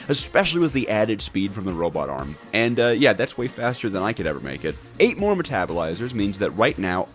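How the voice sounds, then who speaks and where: Low-voiced man, lively wry gaming narration. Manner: wry, exaggerated complaining. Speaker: video creator, gamer. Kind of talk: scripted gameplay narration.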